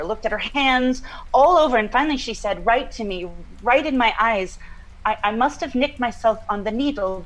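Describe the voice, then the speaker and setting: An actress playing a troubled woman who recounts an eerie dream, halting and searching for her words in a naturalistic audio drama style. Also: on the high side, poor audio. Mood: uneasy.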